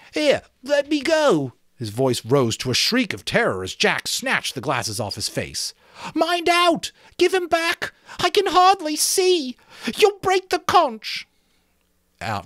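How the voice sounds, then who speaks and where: A middle-aged man giving an expressive, theatrical amateur reading of a novel, with occasional asides to listeners. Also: fairly low pitch, slightly rough, no echo, clean studio sound. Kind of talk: dramatic audiobook narration with asides to listeners.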